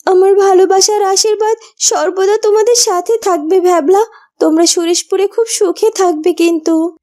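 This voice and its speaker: Woman playing a very high, sweet fairytale maiden. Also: fairly even intonation, moderate pace, dark tone. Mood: affectionate. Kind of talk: Bengali fairytale cartoon dubbing.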